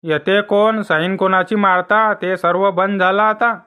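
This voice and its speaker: A man's voice: high voice, steady tone, a little slow, bright, forceful tone. A man voicing a stubborn, dismissive ration shop keeper in a comic cartoon, curt and bureaucratic.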